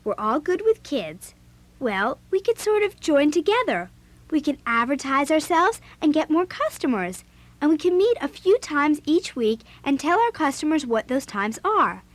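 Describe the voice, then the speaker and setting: An outspoken American girl in a children's audio drama. Her voice is high and swoops with lively emphasis. She speaks bluntly, like someone used to running things. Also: moderate pace, dry recording. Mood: eager, organizing.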